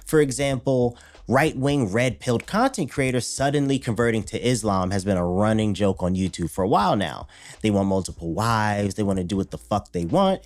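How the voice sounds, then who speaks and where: A man's voice: fairly low pitch, a little mellow. A man hosting a commentary channel, blunt, profane and mocking as he reacts to clips.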